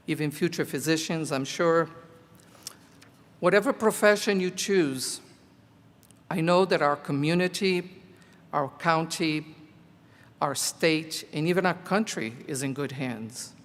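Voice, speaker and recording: An older man whose first language is Portuguese, a teacher near the end of his career, giving a slow, earnest commencement speech. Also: a little high, fairly bright tone. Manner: slow, deliberate, earnest delivery. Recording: echoey hall.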